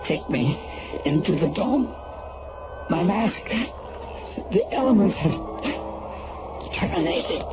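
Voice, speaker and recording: A man playing an ailing, weary alien military commander in a science fiction radio drama, speaking gravely and haltingly. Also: high voice, expressive. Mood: weakened, desperate. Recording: dull lo-fi radio recording, music behind.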